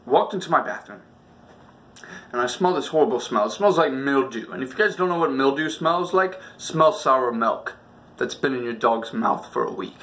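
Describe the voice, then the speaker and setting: A man's voice: mid-range voice, expressive, moderate pace, fairly bright tone. A man hosting a comedic storytelling video with a theatrical, exaggerated delivery. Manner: theatrical, exaggerated delivery with acted-out reactions and sound effects. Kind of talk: animated comedic personal storytelling.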